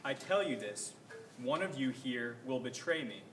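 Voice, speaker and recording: A man's voice: medium pitch, expressive, measured pace, fairly bright tone. A man acting a grave Jesus in an echoey room. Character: Jesus, grave and sorrowful male teacher. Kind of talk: reader's theater scripture reading. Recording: echoey room, faint background noise.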